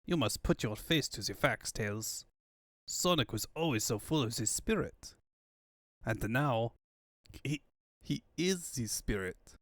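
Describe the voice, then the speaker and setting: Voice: mid-range voice, animated, slow, no room sound. A performer voicing a sombre, formal male comrade with stilted phrasing in a cartoon comic dub. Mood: mournful.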